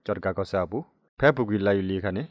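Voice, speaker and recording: A man with a fairly low voice reads a scripted news report. The recording is slightly dull and band-limited, with a dry sound. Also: brisk, no room sound.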